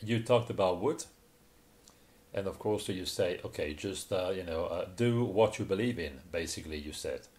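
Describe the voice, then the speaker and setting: Low-voiced male interpreter, halting. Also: darkish tone. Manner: hesitant, many fillers and restarts. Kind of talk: simultaneous interpretation of a video-call talk.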